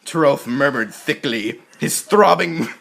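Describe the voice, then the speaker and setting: Gravelly man giving a mock-dramatic story reading. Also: on the high side, expressive, fairly bright tone, a little hiss or hum. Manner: theatrical, overwrought delivery with exaggerated pauses. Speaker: narrator reading a parody romance story. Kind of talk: mock-dramatic scripted narration.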